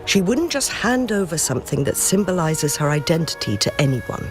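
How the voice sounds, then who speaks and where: A polite, thoughtful townsman in a video game. His voice sits a little high, formal and composed, with music clearly playing behind it. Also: sing-song, moderate pace. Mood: courteous, thoughtful. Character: courteous, composed male town acquaintance, earnest, thoughtful.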